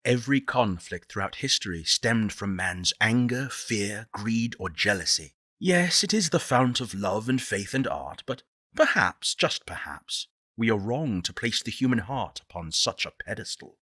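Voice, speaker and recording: An actor playing a reflective, reverent visionary director in a strategy game, speaking in a deliberate, contemplative and rhetorical way. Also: male voice, fairly low pitch, lively intonation, bright, forceful tone, no echo, studio quality. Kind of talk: scripted dramatic quotations from a strategy game.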